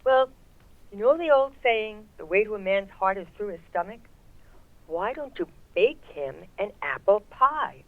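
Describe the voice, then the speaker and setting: A woman playing a sweet, genteel mother who gently advises her grown daughter over a telephone filter, in old-time radio comedy style. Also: mid-range voice, expressive, unhurried, dry recording. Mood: coaxing. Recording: thin, phone-like narrow sound.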